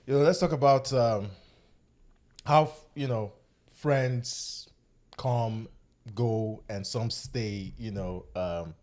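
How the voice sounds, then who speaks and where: Male host, mid pitch, hesitant and reflective delivery. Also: a little mellow. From Nigeria, Warri. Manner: halting, hesitant, many fillers. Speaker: podcast host. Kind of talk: casual reflective chat.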